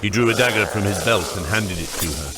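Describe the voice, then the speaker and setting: A man narrates epic fantasy in a low voice. His storytelling is measured and dramatic, scripted audiobook style, with prominent music playing behind him.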